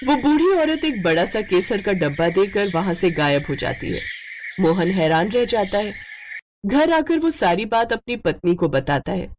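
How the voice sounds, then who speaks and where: A woman narrating a children's moral story in an expressive scripted storytelling style. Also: mid-range voice, normal speed, slight background noise.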